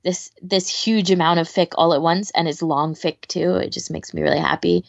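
Female podcast co-host, informal and chatty, creaky voice, dry and slightly dull recording. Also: on the low side, fairly even intonation, moderate pace, no room sound. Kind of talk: casual podcast chat.

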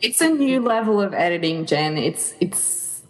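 Woman, mid-pitched voice, podcast co-host, conversational, teasing. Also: expressive, unhurried, low-quality recording. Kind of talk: casual podcast chat.

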